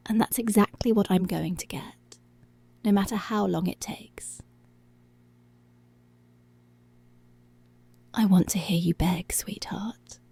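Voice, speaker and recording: A woman playing a dominant, menacing policewoman in a teasing, seductive ASMR roleplay, commanding and drawn-out, often slipping into whispers. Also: medium pitch, vocal fry, mellow, husky, no room sound. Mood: possessive, teasing. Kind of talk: intimate ASMR roleplay, slow and breathy.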